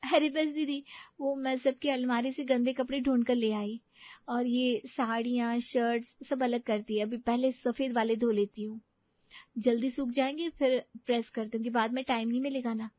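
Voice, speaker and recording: Woman acting shy young orphan bride, high voice, hesitant, deferential, story drama, muffled sound. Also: level tone, moderate pace, dry recording, natural voice. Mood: earnest.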